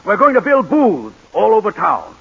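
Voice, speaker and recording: Proud small-town councilman, declamatory, husky, dull lo-fi radio. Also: high voice, wildly swooping pitch, moderate pace, a bit bright, exaggerated voice. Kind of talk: 1940s radio acting. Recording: lo-fi 1940s radio broadcast.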